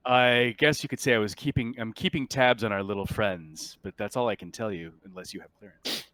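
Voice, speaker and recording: A man voicing a polite, guarded ship's android in a tabletop game, apologetic and measured in manner. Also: on the low side, fairly even intonation, sharp tone, no room sound. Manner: measured, conciliatory, formally courteous. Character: polite, guarded, apologetic android named James minding a ship. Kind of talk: improvised tabletop roleplay acting.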